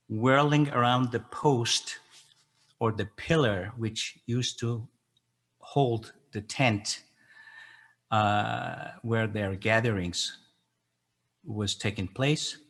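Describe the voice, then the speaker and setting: An older man, a native Persian speaker, giving an informal explanatory talk as an educator in a halting, reflective way. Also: mid-range voice, steady tone, pushed and bright. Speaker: educator and translator leading a poetry gathering.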